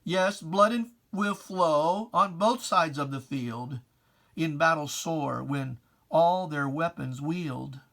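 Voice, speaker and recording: Slow, solemn reciter of dramatic verse. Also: medium pitch, vocal fry, fairly bright tone. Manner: solemn, declamatory, emphatic, with archaic diction. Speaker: poetry reciter. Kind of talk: dramatic reading of religious verse.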